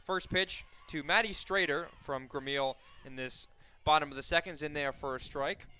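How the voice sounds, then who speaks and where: A man with an American accent does live softball play-by-play for radio. His voice sits a little high and rings bright and clear. He talks conversationally through the details, ready to lift for the action. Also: moderate pace. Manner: conversational between plays, excited calls on action.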